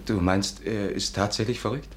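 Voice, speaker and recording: A man's voice: lowish voice, fairly even intonation, moderate pace, natural voice. An actor in a 1950s German radio drama playing a slick, opportunistic young man with polite manners. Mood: uneasy. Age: young adult. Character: brisk, opportunistic young man, smooth and money-minded. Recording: noisy 1950s radio recording.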